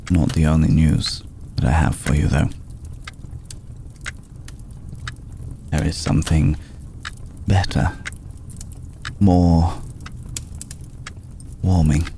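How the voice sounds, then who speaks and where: A man voicing an ancient, courtly, protective vampire lord in a hushed ASMR roleplay, speaking formally and with deliberate pauses. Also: low voice, gravelly, slight background noise, full character voice. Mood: tender, hopeful. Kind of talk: ASMR roleplay, slow intimate scripted monologue.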